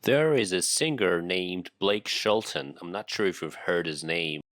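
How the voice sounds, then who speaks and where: Iranian male host, Persian native, low voice, dry studio re-record. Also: lively intonation, measured pace, a bit bright, no room sound. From Iran. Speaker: English teacher and host. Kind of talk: casual interview.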